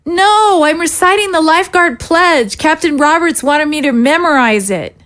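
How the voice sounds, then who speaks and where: A woman's voice: sits high, normal speed, a bit bright. A woman playing a worried, faltering young girl in an audio drama. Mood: hesitant, downcast. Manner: hesitant, faltering. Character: hesitant, worried young girl guarding zombie prisoners.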